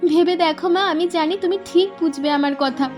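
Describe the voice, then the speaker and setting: A woman playing a bright eager village girl in a cartoon, high voice, rapid and earnest, light music in the background. She sounds keen to share and persuade. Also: natural voice. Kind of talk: animated cartoon dialogue.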